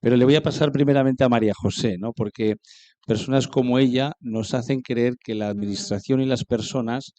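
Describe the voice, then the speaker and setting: A man moderating a panel, introducing the guests in a steady, matter-of-fact way and tagging his points with quick questions. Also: mid-range voice, no room sound.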